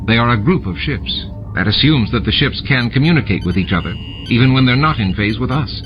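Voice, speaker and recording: A man voicing a composed male station commander in an audiobook, measured and authoritative. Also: medium pitch, animated, lo-fi sound, over music, close to an everyday voice. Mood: reasoning. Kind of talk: audiobook character dialogue.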